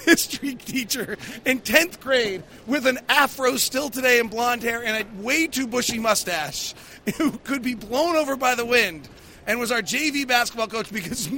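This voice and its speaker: A man's voice: extremely high pitch, animated, normal speed, pushed and bright, a little hiss or hum. A man hosting a post-game sports show, giving casual, emphatic basketball analysis and stories with a taste for exaggeration. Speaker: sports podcast host, basketball broadcaster.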